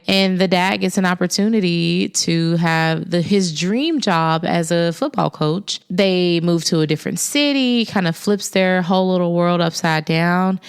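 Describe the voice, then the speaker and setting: A young woman hosting a podcast, talking casually and personally with a raspy voice left over from an illness. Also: fairly low pitch. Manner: chatty, personal, self-deprecating. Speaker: podcast host. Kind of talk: casual solo podcast talk.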